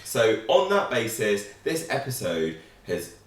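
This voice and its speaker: Man, animated and lively, annoyed reviewer, echoey room, distant mic. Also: lowish voice, unhurried. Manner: animated, frustrated critic. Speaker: reaction show co-host. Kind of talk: casual chat reviewing an episode.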